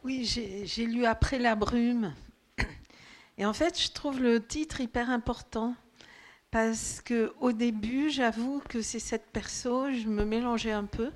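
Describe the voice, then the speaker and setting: A woman's voice: a little high, fairly even intonation, takes it slowly. A woman in the audience sharing her reading impressions earnestly, searching for words. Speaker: audience member.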